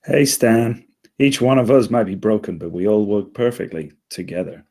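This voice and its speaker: A man's voice: on the low side, normal speed, a little mellow. A man playing a sarcastic, exasperated first mate in a comedy table read, with a dry, complaining manner. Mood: earnest, exasperated.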